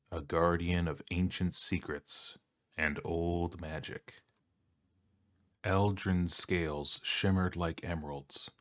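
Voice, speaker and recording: A soft-spoken man with a deep voice narrating an ASMR bedtime story in a calm, soothing manner with long pauses.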